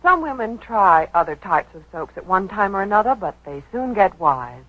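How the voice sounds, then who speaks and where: A woman's voice: medium pitch, big pitch swings, normal speed, no room sound. A woman performing scripted 1940s radio advertising dialogue with an emphatic, knowing sales delivery. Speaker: commercial spokeswoman. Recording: dull 1940s radio broadcast recording.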